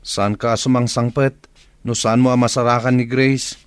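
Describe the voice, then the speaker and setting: An actor playing a gruff, curt man in an Ilocano radio drama. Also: mid-range voice, little pitch movement, normal speed, dry recording, natural voice. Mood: questioning.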